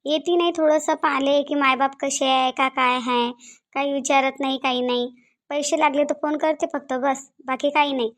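A woman plays an aging village mother from a cartoon, with a high voice and a Varhadi Marathi accent. She is lonely and plaintive, pleading and lamenting in repeated phrases. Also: steady tone, moderate pace, lightly acted. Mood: lonely, complaining. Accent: Varhadi Marathi of Vidarbha. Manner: repetitive, lamenting. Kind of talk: cartoon dialogue.

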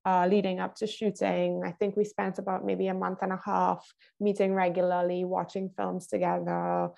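A young woman from Trinidad speaking thoughtfully and haltingly in a casual interview as a film director. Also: fairly low pitch, flat delivery, vocal fry, darkish tone. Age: young adult. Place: indoor room, video call. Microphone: wired earphones on a video call.

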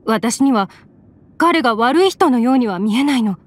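A gentle worried mother. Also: fairly high pitch, animated, normal speed. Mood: thoughtful. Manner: soft, polite and anxious. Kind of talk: game voice acting.

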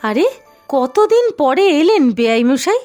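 A kindly, patient cartoon mother-in-law of middle age. Her voice is high, and she speaks in a gentle, placating and apologetic way, with music behind her. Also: big pitch swings, measured pace. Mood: meek apologetic. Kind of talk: Bengali cartoon voice acting.

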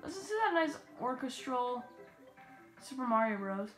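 A woman chatting her way through a video game, rambling in an unhurried way with sudden excited outbursts. Her voice is fairly high and echoes around the room, with game music playing underneath. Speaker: let's play gamer. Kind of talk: casual gameplay commentary. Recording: roomy echo with game music.

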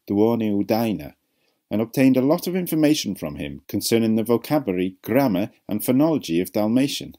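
Low-voiced man narrating, lively intonation. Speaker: narrator of an educational language video. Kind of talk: scripted narration.

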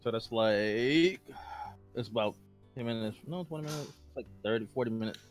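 Brief: male teammate, easygoing slang banter, average pitch, faint music. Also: a little fast. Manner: slangy, laid-back banter. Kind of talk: casual gaming chat.

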